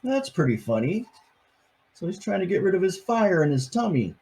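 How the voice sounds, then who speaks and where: A man's voice: high-pitched, sing-song, normal speed, darkish tone, slightly rough. An older man reading a picture book aloud to his grandchildren, adding chatty, playful asides between pages.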